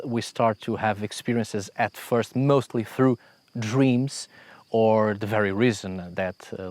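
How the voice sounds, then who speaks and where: A man from Portugal with a Portuguese accent, speaking in a thoughtful, soft-spoken way with many pauses and fillers. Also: on the low side, dry recording. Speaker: host of a folk magic channel. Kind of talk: reflective spoken talk.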